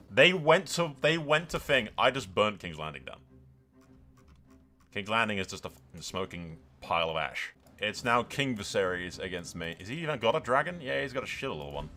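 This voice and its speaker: Animated man doing bright, sweary game commentary. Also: on the low side. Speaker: video game streamer. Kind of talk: excited game commentary with dramatic roleplay.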